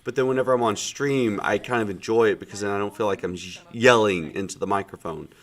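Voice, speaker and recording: A man in his thirties with a cold, chatting casually while streaming a game, his normally loud voice sounding muted and subdued, rambling with many fillers. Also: lowish voice, quick pace. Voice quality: loud projecting voice, subdued today. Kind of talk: casual chat while gaming.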